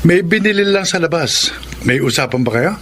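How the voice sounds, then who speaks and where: A man playing a courteous, smitten suitor in a Filipino radio romance, speaking gently and earnestly. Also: fairly high pitch, lively intonation, normal speed, slight background noise. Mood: casual, fond. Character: courteous, smitten older-brother-like male suitor.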